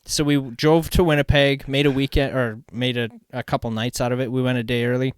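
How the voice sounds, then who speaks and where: A Canadian man hosting a podcast, telling rambling, slangy stories in an excitable, casual way. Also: mid-range voice, a little fast, smooth, dry recording. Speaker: podcast host. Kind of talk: casual chat, storytelling.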